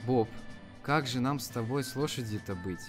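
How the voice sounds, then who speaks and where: Young man acting Western bandit, cool-headed, calculating, measured, audio play, prominent music underneath. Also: medium pitch, lightly acted. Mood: wary, practical. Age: young adult. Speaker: amateur school performer.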